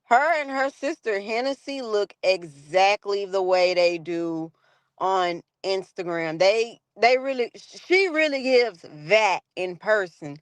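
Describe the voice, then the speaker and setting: A woman chatting casually as a livestream guest in a chatty, relaxed way. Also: mid-range voice, slow, smooth, no echo. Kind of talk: casual chat.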